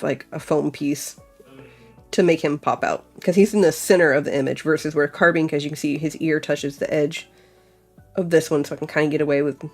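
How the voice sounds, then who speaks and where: Young female vlogger, a touch low-pitched, loose thinking-aloud chat over faint background music. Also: a little mellow. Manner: chatty, thinks aloud, many fillers like "like". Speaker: crafting vlogger. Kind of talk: casual chat while crafting.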